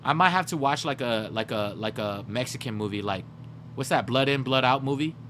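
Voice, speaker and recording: A young man talking to his live chat in a loose, rambling way, working out joke ideas as he goes. His voice sits in a middle register. A steady hum runs under the recording. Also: mid-range voice, a little fast. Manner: rambling, brainstorming jokes aloud, talking to chat. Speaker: livestreamer. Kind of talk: casual chat with live viewers.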